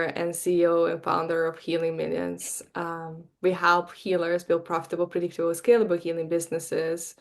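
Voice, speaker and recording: A woman in her forties from Bosnia, speaking as a podcast guest, earnest and heartfelt with a slightly halting delivery. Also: on the low side. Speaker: guest, marketing coach and energy healer. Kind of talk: podcast interview.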